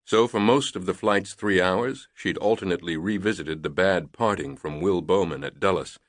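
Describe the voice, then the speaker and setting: A man narrating a thriller novel in a measured, deliberate reading on a close studio mic. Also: low voice, fairly bright tone, dry recording. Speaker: audiobook narrator.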